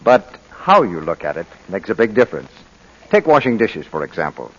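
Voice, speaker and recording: A man's voice: on the low side, very animated, moderate pace, a bit bright, slightly rough. A man announcing in a scripted 1940s radio advertising style, on a muffled old broadcast recording.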